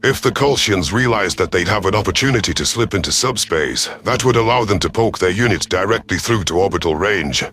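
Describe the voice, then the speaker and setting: A man's voice: sits low, lively intonation, brisk, few pauses, a bit bright. A man reading a first-person science fiction story as a scripted narration. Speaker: narrator of sci-fi audio stories. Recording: music behind the voice. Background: music.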